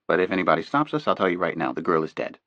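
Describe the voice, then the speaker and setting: A man voicing a cold, heavy-set kidnapper's contact man who talks flatly and offhandedly about killing a girl. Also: low voice, very fast, no room sound, highs cut off. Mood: cold menace. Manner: flat, menacing, offhand.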